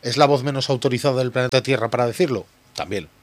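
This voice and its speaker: A man's voice: medium pitch, quick pace. Football pundit guy, blunt and emphatic, asks himself questions then answers. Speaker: sports show pundit. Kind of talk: opinionated debate.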